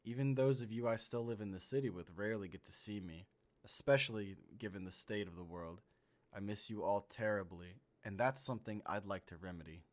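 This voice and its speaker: A genial man reading his own message to old friends, as an amateur actor plays him in a comic dub. His voice is on the low side, measured and gently persuasive. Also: close to an everyday voice. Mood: affable, earnest.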